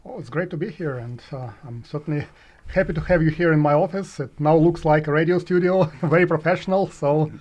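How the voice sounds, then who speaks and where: A man's voice: on the high side, fairly quick, darkish tone, highs cut off. A man in his forties from Russia with a Russian accent, an economics professor answering interview questions in his office, hesitant and full of filler sounds. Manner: hesitant, many filler sounds, chuckles while talking.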